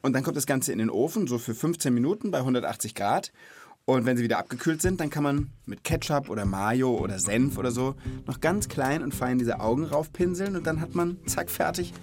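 Lively, expressive female podcast host. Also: a bass voice, a little fast, husky, faint music behind. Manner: colloquial, expressive, playful. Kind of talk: casual, playful chat.

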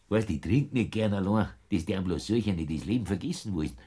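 A crafty old Bavarian peasant. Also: male voice, low voice, animated, normal speed, mellow, full character voice. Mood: coaxing. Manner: gruff, then jovially coaxing. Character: Brandner Kaspar, stubborn crafty old Bavarian man. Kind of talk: classic radio play acting.

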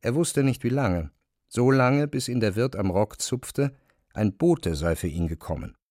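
A man's voice: mid-range voice, expressive, unhurried, darkish tone, dry recording, studio quality. A man reading scripted literary narration as the narrator of an audio drama.

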